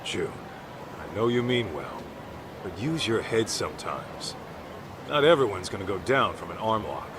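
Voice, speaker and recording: A man's voice: animated, normal speed, noisy background, close to an everyday voice. An actor playing an easygoing, tough male private detective in a game dub, talking in a casual, cocky, protective way. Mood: gently instructive. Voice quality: low, sturdy voice. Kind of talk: English dub game cutscene acting.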